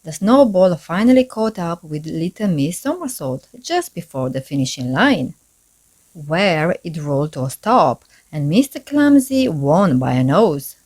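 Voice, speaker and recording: A woman reading a children's story aloud in an expressive, deliberate storybook manner. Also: low-pitched.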